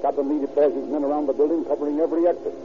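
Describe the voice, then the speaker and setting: A man narrating and announcing a 1930s radio crime drama in a measured, formal manner. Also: a little high, fairly even intonation. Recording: tinny old radio recording with faint hiss.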